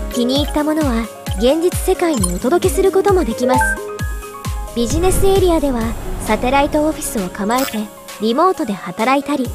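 A woman voicing a polite female AI system announcer for a game's virtual world, speaking in polished, formal announcement style. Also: high voice. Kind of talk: scripted promotional announcement. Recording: loud music under the voice.

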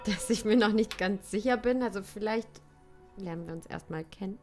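A woman playing a friendly, hesitant young start-up worker in an improvised radio play, with halting, polite delivery. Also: medium pitch, mellow, soft background music, natural voice. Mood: flattered, hesitant. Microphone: close studio microphone on boom arm.

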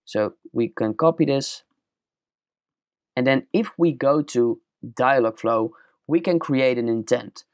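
A young man with a Dutch accent walking through a screen-recorded software tutorial step by step, in a plain explaining manner. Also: mid-range voice, dry recording, clean studio sound. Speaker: tutorial presenter.

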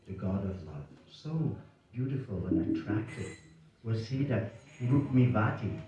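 A man's voice: mid-range voice, dark tone, slight background noise. Man narrating a legend in an echoey hall. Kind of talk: scripted reading aloud. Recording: echoey hall, distant, slightly dull.

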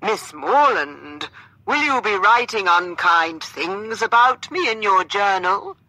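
A woman voicing a playful young gentleman who speaks with exaggerated, dramatic politeness in a storybook reading. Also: medium pitch, lively intonation, takes it slowly, no room sound, pitched above the performer's own voice, exaggerated voice, poor audio. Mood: mock indignation. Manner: dramatic, over-polite, stretched-out delivery.

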